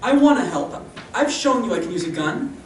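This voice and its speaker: A woman's voice: mid-range voice, very animated, normal speed, slight background noise, close to an everyday voice. Woman playing an eager, wide-eyed Western boy. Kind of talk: old-time radio Western acting. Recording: roomy distant stage pickup, faint music.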